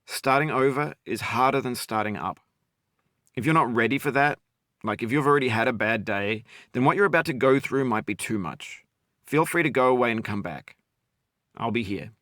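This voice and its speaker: A middle-aged man narrating a video game in a calm, wry, philosophical voice-over. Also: mid-range voice, moderate pace, no echo, clean studio sound.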